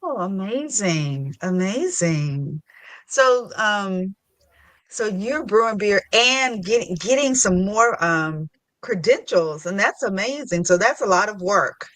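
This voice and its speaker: A woman's voice: mid-range voice, expressive, takes it slowly, fairly bright tone, no echo. Female podcast host, mid-range voice, casual and playful interviewer, very dry recording.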